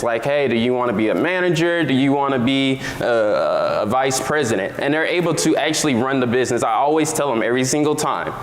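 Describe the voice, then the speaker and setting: A teenage man on a discussion panel, talking in a playful, rambling way with many fillers and restarts. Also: medium pitch. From Louisiana. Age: teens. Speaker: student panelist, youth nonprofit founder. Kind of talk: casual panel talk. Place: event hall with live audience. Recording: roomy hall with audience. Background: audience.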